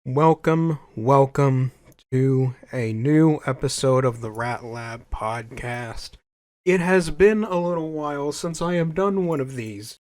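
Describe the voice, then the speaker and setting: A man's voice: medium pitch, slow, a little mellow, no echo. A young man hosting his own podcast in casual solo chat, stuffy-nosed from a cold, rambling and repeating himself as he corrects his own phrasing. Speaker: podcast host. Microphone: home podcast microphone.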